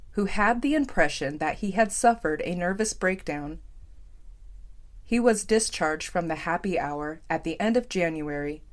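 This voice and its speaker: A woman reading a scripted story aloud as an audiobook narrator. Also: on the low side.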